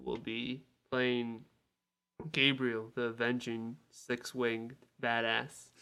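Bright-toned man, casual podcaster. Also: medium pitch, flat delivery, slow, gravelly, smooth. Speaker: podcast co-host. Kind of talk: casual film discussion.

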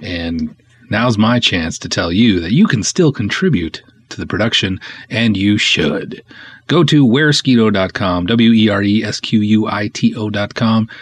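Man, deep husky voice, podcast host, casual solo talk, enthusiastic, emphatic. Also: expressive, normal speed. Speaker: podcast host, film writer-director.

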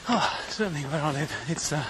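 A man's voice: on the high side, fairly quick. A man hosting a podcast, chatting casually while running outdoors and a little out of breath. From Australia. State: panting from running. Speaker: podcast host. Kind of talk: casual running vlog monologue. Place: outdoors, running on hilly streets. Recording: loud outdoor background noise.

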